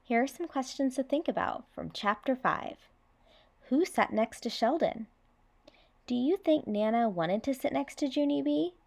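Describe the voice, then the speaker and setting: A woman reading a children's chapter book aloud as a storyteller, with expressive, dramatic delivery. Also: high-pitched, moderate pace, gravelly. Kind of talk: animated read-aloud narration for children.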